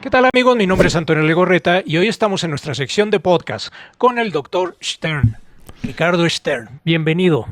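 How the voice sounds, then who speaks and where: A man's voice: high-pitched, big pitch swings, moderate pace, dry recording, faint music behind. A man with a Mexican accent hosting a conversational podcast interview. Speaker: podcast host.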